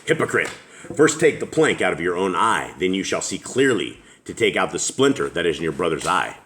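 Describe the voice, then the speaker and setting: A middle-aged man with a deep voice, leading a home Bible study, reading scripture aloud slowly and asking questions. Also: big pitch swings, a bit bright.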